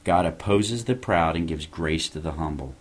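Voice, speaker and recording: A man's voice: extremely low pitch, moderate pace. A man hosting a show, preaching an earnest evangelistic message and urging listeners to turn to God. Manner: earnest, exhorting, rising on key phrases. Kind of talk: evangelistic sermon.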